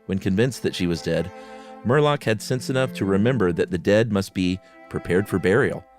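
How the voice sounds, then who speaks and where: A man with a fairly low voice co-hosts a podcast, reading a horror tale aloud and chatting casually about it. Soft guitar music plays faintly behind him. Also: normal speed. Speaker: podcast co-host. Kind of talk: dramatic reading of a horror short story with casual asides.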